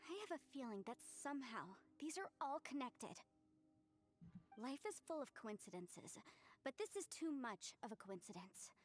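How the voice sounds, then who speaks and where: A courteous young half-immortal advisor in a fantasy game. Her voice sits fairly high, and she is articulate and inquisitive, thinking things through aloud. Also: sing-song, moderate pace, faint music behind. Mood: thoughtful, explanatory. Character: polite, inquisitive young female half-adeptus legal advisor. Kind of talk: scripted fantasy game acting.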